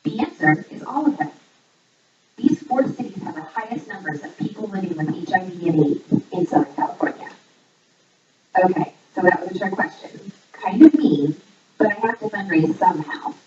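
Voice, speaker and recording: A woman reading a scripted voice-over like a playful quiz presenter, setting out each line clearly. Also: animated, normal speed, dark tone. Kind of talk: scripted voice-over narration, trivia quiz for a fundraiser. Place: voice audio played back in a room during filming. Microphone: far camera picking up played-back audio. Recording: echoey, distant, muffled low-quality playback.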